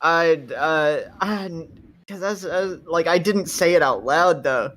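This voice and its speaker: A man's voice: fairly high pitch, slow pace, a bit bright. A man playing a tabletop game, chatting casually with the group.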